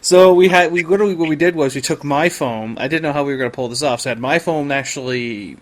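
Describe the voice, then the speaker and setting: Higher-pitched man, casual podcast host. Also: quick pace. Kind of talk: casual chat interview.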